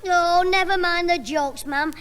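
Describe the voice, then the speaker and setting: A man's voice: very high voice, fairly even intonation, unhurried, fairly bright tone. A man acting a mischievous, cheeky schoolboy in a 1960s radio sitcom, with a piping voice and laughing delivery. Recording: old radio recording, studio audience, hiss.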